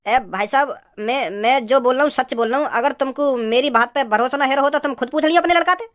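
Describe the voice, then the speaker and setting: A man voicing a brazen, gossipy rustic brothel keeper in an amateur comedy cartoon, insistent and rambling, with a pitched-up voice. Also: quick pace, fairly bright tone.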